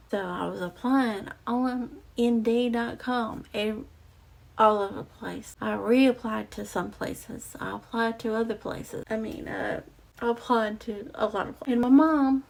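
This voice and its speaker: A young woman with a raspy, gravelly voice tells her own story straight to her viewers. She talks in a halting, reflective way, full of fillers and restarts. Her pitch sits in a middle range, and she is close to the mic. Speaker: vlogger. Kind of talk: personal storytelling to camera. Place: outdoors or near a street with passing cars.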